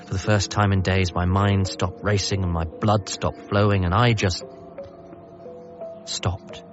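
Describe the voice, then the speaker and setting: A man acting a wry, reflective, grieving son who narrates his memories in a measured, lyrical way for radio drama. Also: deep voice, fairly even intonation, lo-fi sound, over music. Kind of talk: scripted first-person narration in radio drama.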